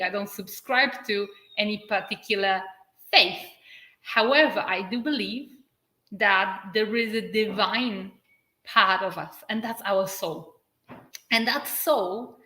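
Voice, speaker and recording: A woman from Poland with a Polish accent, speaking English as a second language, giving an earnest spiritual livestream talk and stretching key words with dramatic pauses. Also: mid-range voice, pushed and bright. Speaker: spiritual channel and activator. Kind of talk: livestream talk answering viewer comments. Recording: echoey room.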